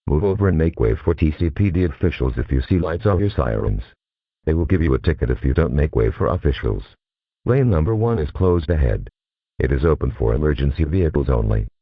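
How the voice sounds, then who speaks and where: A man's voice: extremely low pitch, dry recording. A man reading a scripted emergency alert announcement in a formal, impersonal manner. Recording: narrow phone-like alert audio.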